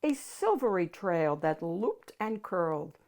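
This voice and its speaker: A woman's voice: on the low side, very animated, slow, darkish tone. A woman reading a rhyming children's picture book aloud expressively, stretching key words for emphasis.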